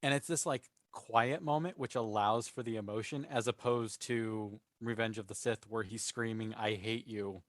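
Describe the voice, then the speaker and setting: A man's voice: on the low side, fairly even intonation, moderate pace, hardly any pauses, no echo, studio quality. A man speaking earnestly and emphatically as a panelist in a casual fan discussion. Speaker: podcast panelist.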